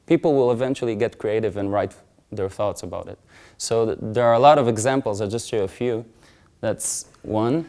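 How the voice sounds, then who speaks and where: Stage speaker, male, earnest storyteller, warm mellow tone, emphatic swells. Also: medium pitch. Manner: earnest, builds to loud emphatic peaks. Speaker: conference speaker. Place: conference stage.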